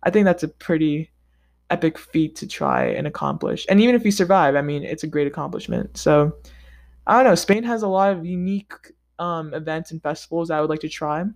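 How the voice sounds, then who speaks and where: A man talking straight to his audience in a relaxed vlog. His delivery is upbeat and chatty, loose and conversational, with casual slang. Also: a little high, brisk. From United States. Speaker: vlogger. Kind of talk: casual scripted monologue ranking countries.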